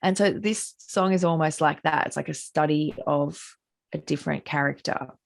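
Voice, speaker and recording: A middle-aged Australian woman musician with a husky, gravelly voice, answering interview questions in a loose, rambling way full of "like" and restarts, over a video call. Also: deep voice, normal speed. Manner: rambling, many likes and restarts.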